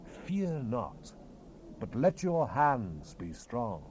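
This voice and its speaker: A man's voice: medium pitch, big pitch swings, extremely slow, darkish tone. Man voicing a solemn, wide-ranging prophet on hissy tape. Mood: solemn, proclaiming. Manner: grave, declamatory, emphatic phrasing. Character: solemn, commanding male Hebrew prophet relaying God's word. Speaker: scripture narrator. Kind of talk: dramatized Bible reading. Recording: hissy unprocessed cassette tape rip.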